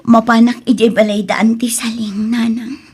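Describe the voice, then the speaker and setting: An actress playing a soft-hearted young woman in love in an Ilocano radio drama, with an Ilocano accent, speaking emotionally and hesitantly in a breathy voice. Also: on the high side, level tone, normal speed. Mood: subdued, sad.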